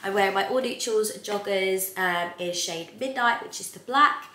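A woman's voice: mid-range voice, moderate pace, bright, forceful tone, reverberant. A woman in her twenties vlogging casually to camera in her living room, sounding blocked up with a cold and laughing lightly between phrases. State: congested with a cold. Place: bright living room, indoors.

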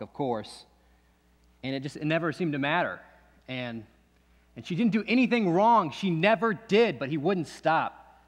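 A man playing a bitter, distrustful restrained man. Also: mid-range voice. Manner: halting, repeats himself, confrontational questions. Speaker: actor in a monologue. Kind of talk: dramatic stage monologue. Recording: long echoey hall reverb.

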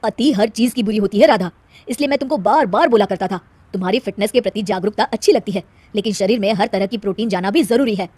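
A woman's voice: medium pitch, fast talker, a little mellow, breathy edge, no room sound, natural voice. A woman voicing an exasperated young husband in a cartoon, lecturing emphatically. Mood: admonishing. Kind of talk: Hindi cartoon moral-story acting.

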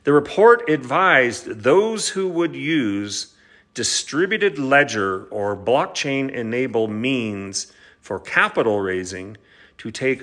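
A middle-aged American man reads formal legal material aloud in the role of an attorney and educator. His pitch sits in the middle for a man. The delivery is stop-and-start, with short asides to explain terms. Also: a bit bright. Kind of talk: reading a legal document aloud with brief asides. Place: large indoor living room with high ceiling.

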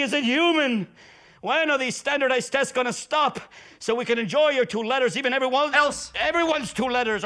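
Gushing department-chair professor, melodramatic and fast-talking, dropping Italian phrases, put on by a man. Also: very high voice, bright, forceful tone, heavily acted. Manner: effusive, pleading, repeats the listener's name constantly; sprinkles in Italian and Latin phrases. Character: gushing middle-aged male professor, melodramatic.

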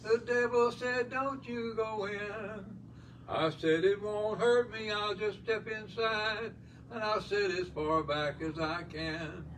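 A man in a small church recites the words of a gospel song in a slow, halting way. He is heard from some distance, with faint room noise around him. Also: high voice, fairly bright tone. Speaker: congregation member reciting a song.

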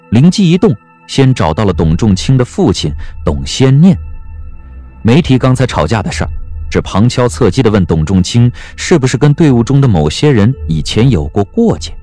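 A man narrating an audio drama in an expressive storytelling manner. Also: medium pitch.

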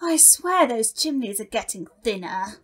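A woman's voice: fairly high pitch, animated, unhurried, a bit bright. A woman voicing an obsequious, eager demon minion in a comic audio pantomime, with a fawning, theatrical manner. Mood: playful.

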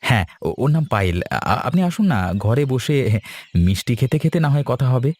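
A man's voice: mid-range voice, very animated, normal speed, darkish tone, slightly rough, no room sound. Man playing a polite young cartoon schoolteacher. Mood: cheerful. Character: polite, earnest young new history teacher. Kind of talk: horror-comedy cartoon dialogue.

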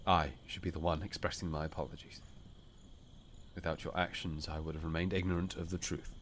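A man voicing a brooding, commanding king in an audiobook, weary and regretful, speaking formally and gravely. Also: a bass voice, level tone, a little fast, fairly bright tone, slight background noise. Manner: formal, grave, archaic phrasing. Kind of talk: audiobook character dialogue.

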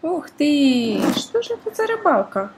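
Woman, high-pitched, lively intonation, child-directed toy demo, exclamatory, playful, noisy camera recording. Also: a little slow. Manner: exclamatory, full of oohs and gasps. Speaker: toy review host, mother playing with her child.

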